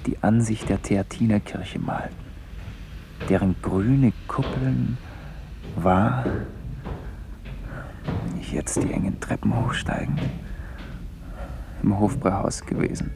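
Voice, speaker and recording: Radio drama, noisy tape: brooding wanderer, gravelly low voice, fragmentary inner monologue, half-whispered. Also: male voice, slow pace, long pauses. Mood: musing. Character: wandering, brooding man narrating his Munich outing. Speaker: radio play actor. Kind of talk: literary radio play monologue. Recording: noisy radio recording with clicks.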